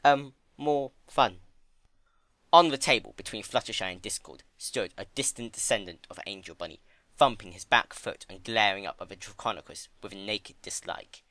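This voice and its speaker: A man's voice: lowish voice, brisk, pushed and bright, no room sound. A man narrating a story as a dramatic scripted reading.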